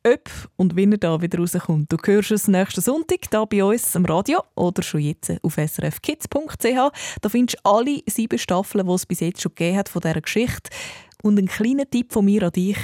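A woman's voice: mid-range voice, expressive, fairly quick, dark tone, no room sound, studio quality. A woman presenting children's radio in a chatty way, speaking directly to the young listener.

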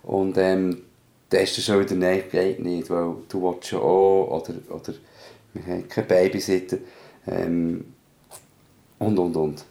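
A man's voice: low-pitched, little pitch movement, unhurried, gravelly, long pauses, mellow. A man with a Swiss German accent co-hosting a casual podcast, thinking aloud in a hesitant way. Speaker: podcast co-host and parent.

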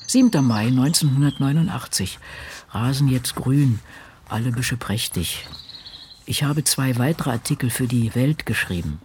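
A man's voice: mid-range voice, animated, a little slow, darkish tone, slight background noise, close to an everyday voice. A man playing an ageing, solitary woman crime novelist who reflects and reads from diaries in a literary radio drama. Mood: dry, matter-of-fact.

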